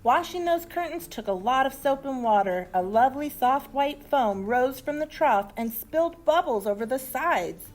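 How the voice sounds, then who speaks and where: A woman reads a children's storybook in an expressive, deliberate storytelling manner. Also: high-pitched, soft background music, rough recording. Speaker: storybook reader. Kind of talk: animated children's story narration.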